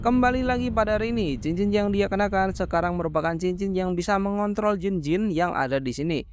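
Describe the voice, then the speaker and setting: A man narrating a horror film recap in a casual, brisk way, dropping slangy joking asides between plot beats. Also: sits high, slight background noise.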